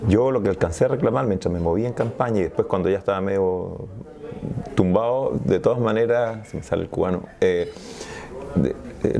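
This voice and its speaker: A man's voice: sits low, creaky, mellow. A Chilean man speaking as a sociologist guest in an interview, talking in a discursive, analytical way and often restarting his sentences. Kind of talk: interview conversation.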